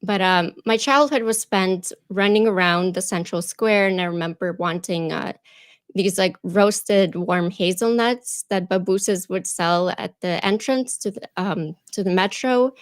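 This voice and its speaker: A woman from Ukraine, a native Russian speaker, telling her childhood story in a reflective, soft-spoken way, with fillers. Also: medium pitch, a little slow, no room sound. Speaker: guest. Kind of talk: casual interview storytelling.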